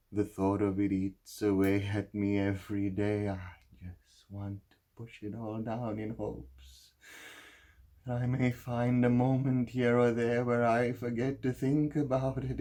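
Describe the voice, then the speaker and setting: Man acting a very deep-voiced, guilt-ridden husband. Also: a little mellow, slightly rough, full character voice. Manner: slow, halting, confessional delivery with heavy pauses. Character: guilt-ridden husband who caused a fatal drunk-driving crash. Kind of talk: dramatic monologue performance.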